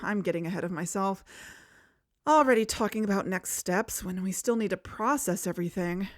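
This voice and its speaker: A woman's voice: medium pitch, normal speed, no echo, clean studio sound, lightly acted. A woman playing an earnest, suspicious podcast investigator in a conversational audio drama. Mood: pensive, suspicious. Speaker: podcast co-host. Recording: music under the voice.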